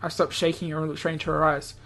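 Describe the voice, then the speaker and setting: Low-voiced woman reading a game story aloud. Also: flat delivery, fast, fairly bright tone. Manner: stumbles over words and re-reads lines. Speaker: let's player reading a visual novel aloud. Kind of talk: amateur read-aloud narration with offhand remarks. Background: game background music.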